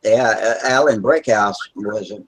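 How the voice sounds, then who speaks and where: A man in his sixties chatting on a casual online video hangout, relaxed and a little rambling, over a computer mic. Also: lowish voice, moderate pace, husky. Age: 60s.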